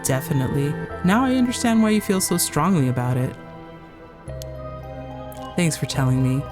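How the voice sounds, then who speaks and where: A woman voicing an earnest, anxious young man in a horror visual novel, halting when alarmed. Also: low-pitched, sing-song, over music. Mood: earnest, supportive.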